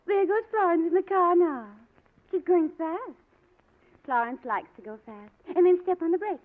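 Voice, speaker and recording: A woman's voice: very high voice, animated, a little slow. A woman acting in an old-time radio drama as a timid but needling young sister, childlike, meek and wheedling. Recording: tinny old radio recording.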